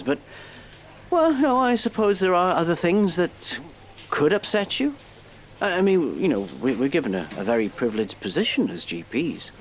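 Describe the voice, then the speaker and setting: An actor as an expressive, prim British family doctor. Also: male voice, medium pitch, a little hiss or hum, close to an everyday voice. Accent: British English. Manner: hesitant, articulate, slightly prim. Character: exasperated, well-meaning, educated male family doctor. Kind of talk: audio play acting.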